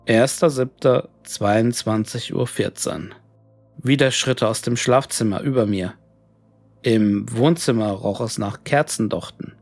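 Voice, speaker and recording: A man reading a scripted horror story in a measured, clearly read narration. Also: medium pitch, darkish tone, no room sound. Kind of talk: scripted creepypasta audiobook narration of emails and diary entries.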